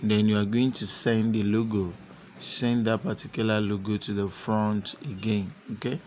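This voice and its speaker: A man narrating a step-by-step software tutorial unscripted, with halting, repetitive instructional phrasing. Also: fairly low pitch, level tone, a little hiss or hum. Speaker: tutorial presenter.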